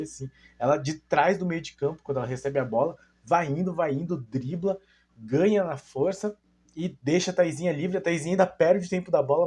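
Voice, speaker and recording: A man's voice: mid-range voice, dark tone, breathy edge. A young man hosting his football channel, casually analysing a women's match and rambling with many fillers and self-corrections.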